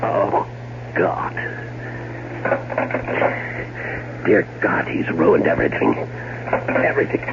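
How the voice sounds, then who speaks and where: Muffled noisy old radio recording, scheming irritable American man, lowish voice, theatrical sarcasm. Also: animated, a little slow, vocal fry, heavily acted. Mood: bitter sarcasm. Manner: theatrical, sarcastic, stammers when cornered. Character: scheming, irritable man, bitterly sarcastic older-brother type. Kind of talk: 1970s American radio drama acting.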